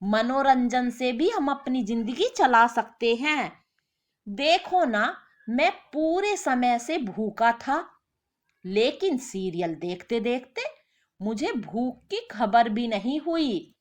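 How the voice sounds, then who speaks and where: A woman voicing a lazy, whiny husband who makes indignant, self-justifying excuses in an animated moral story. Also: on the high side, slow. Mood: smug, carefree.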